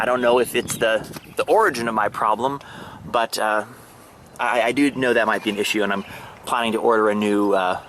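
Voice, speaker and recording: A man giving a casual, halting show-and-tell of a hobby setup, pausing and restarting as he explains. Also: mid-range voice, a bit bright, slight background noise. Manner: hesitant, halting, fragmented delivery. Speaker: amateur radio hobbyist demonstrating his setup. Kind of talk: casual demonstration vlog. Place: outdoors and in a parked vehicle in a residential neighborhood.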